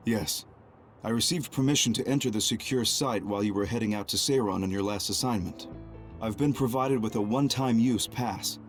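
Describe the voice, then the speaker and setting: Male actor as calculating young spy in an anime game: low, formal, grave, quietly remorseful. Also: brisk, faint music behind, natural voice. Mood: calm, businesslike. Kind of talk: anime-style video game cutscene acting.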